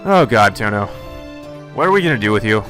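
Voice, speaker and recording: A man's voice: fairly low pitch, sing-song, brisk, fairly bright tone, faint music behind. A man hosting a let's play of a visual novel, breaking in with sarcastic, irreverent commentary. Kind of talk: visual novel read-aloud with joking commentary.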